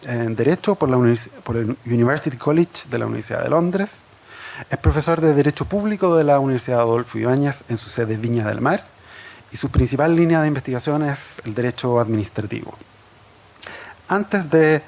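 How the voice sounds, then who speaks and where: A man moderating an academic seminar, introducing the speakers with many fillers and pauses. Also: medium pitch, darkish tone.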